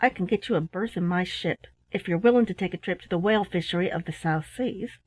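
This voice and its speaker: A woman voicing a rough but kindly male sailor in his early thirties, full of bluff, hearty seafaring talk in a storybook reading. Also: fairly low pitch, brisk, a little mellow, low-quality recording.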